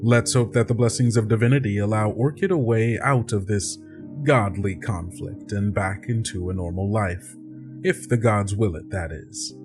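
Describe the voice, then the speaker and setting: A man's voice: lowish voice, normal speed, over music. A man speaking conversationally as a host and player on an actual-play podcast.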